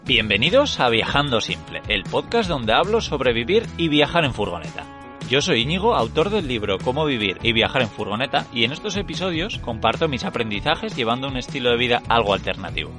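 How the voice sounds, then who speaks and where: A man's voice: mid-range voice, sing-song, brisk, over music. A man reading his podcast's scripted intro in an upbeat, announcing way. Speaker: podcast host.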